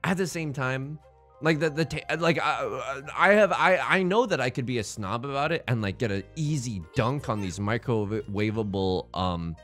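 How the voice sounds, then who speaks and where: Animated joking man over faint music. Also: medium pitch, normal speed. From China. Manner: jokey, rambling, playful internet slang. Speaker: gaming channel host. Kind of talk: let's play game commentary.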